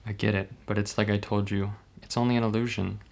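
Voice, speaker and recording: A man playing a measured hospital doctor in a horror manga dub, with a reserved, clinical manner. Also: lowish voice, little pitch movement, gravelly. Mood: calm, clinical.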